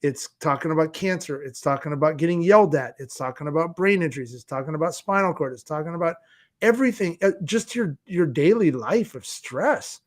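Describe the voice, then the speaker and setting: Dude on a podcast, kinda high voice, earnest motivational talk, blunt and emphatic. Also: male voice, lively intonation, a little fast. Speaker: podcast guest, speaker for a support movement.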